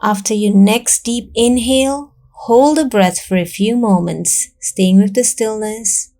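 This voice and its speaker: A woman narrating a guided meditation in a calm, soothing, measured way, leaving long pauses between instructions. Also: medium pitch.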